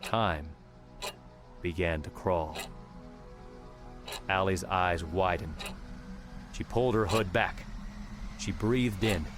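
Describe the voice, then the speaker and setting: Deep-voiced male audiobook narrator. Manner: measured, dramatic storytelling. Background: background music.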